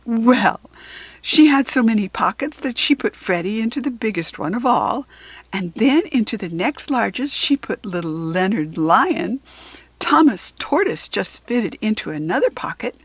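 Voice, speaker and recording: A woman reads a children's storybook aloud in a high, breathy voice. She phrases slowly and deliberately, leaning on words for drama. Her intonation is very animated. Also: no echo.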